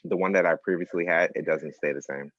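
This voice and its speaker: Male caller, deep bass register, conversational, slightly lo-fi dry audio. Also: steady tone, a little fast, fairly bright tone, no room sound. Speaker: participant asking a question on a group call. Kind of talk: casual conversational question. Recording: dull, lo-fi online call audio.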